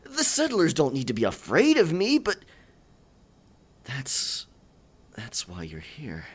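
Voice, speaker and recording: A man playing a theatrical, sentimental pirate captain in a scripted audio roleplay, with a gravelly voice, archaic pirate talk and a halting, stammering dramatic delivery. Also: mid-range voice, wildly swooping pitch, a little hiss or hum.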